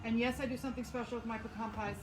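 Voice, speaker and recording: A woman's voice: a little high, fairly even intonation, poor audio. Wry, teasing woman co-host. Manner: teasing and wry, drawn-out emphasis. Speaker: co-host of a live store show. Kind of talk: casual chat. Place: inside a small shop.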